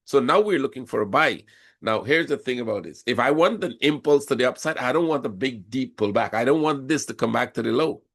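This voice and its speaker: A man with a cold and a hoarse voice, explaining chart patterns step by step during a live trading session. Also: medium pitch, expressive, fairly quick, a bit bright. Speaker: forex trading instructor. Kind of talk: live trading room commentary.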